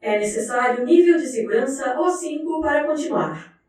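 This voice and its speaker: A woman's high voice rings out through heavy echo. She reads scripted report narration formally and impersonally, like an official announcement, sounding set well back from the mic. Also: expressive, moderate pace, a little mellow, far from the mic. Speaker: document narrator.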